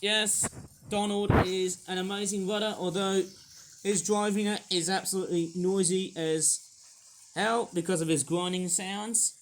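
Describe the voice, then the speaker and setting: A man's voice: sits high, flat delivery, unhurried, a little hiss or hum, poor audio. A young man casually reviewing a toy train set, rambling and apologetic, with many fillers.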